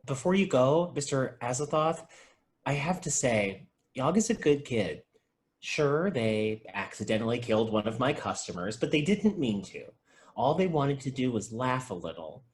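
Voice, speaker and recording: An actor playing an earnest, geeky video store clerk, speaking conversationally in a comedy table read. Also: male voice, mid-range voice, lively intonation, normal speed, lightly acted.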